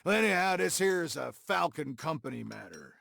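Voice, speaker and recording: An actor playing a sly, boastful old western sheriff in a video game, gravelly, folksy and mocking. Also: male voice, fairly high pitch, sing-song, brisk, a bit bright, full character voice. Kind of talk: scripted game cutscene acting.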